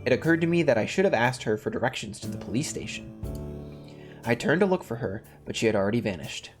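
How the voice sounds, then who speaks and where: A man's voice: medium pitch, animated, fast. A man hosting a gaming video, reading a visual novel's narration aloud and dropping in casual asides. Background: faint music.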